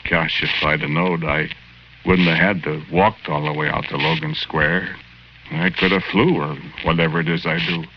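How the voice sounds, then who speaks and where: A man's voice: rumbling low, fairly even intonation, normal speed, slight background noise. An actor playing the naive, friendly ghost of a murdered small-time guy, telling his story in folksy, ungrammatical 1940s radio drama style. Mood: chatty, sheepish. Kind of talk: 1940s radio drama acting, first-person narration. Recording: dull old 1940s radio recording with music.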